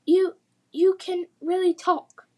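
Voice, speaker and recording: A woman's voice: extremely high pitch, level tone, slow, pitched above the performer's own voice. A woman voicing a frightened, disbelieving young girl in a children's fantasy story, with an emphatic, pleading manner. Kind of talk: children's fantasy read-aloud.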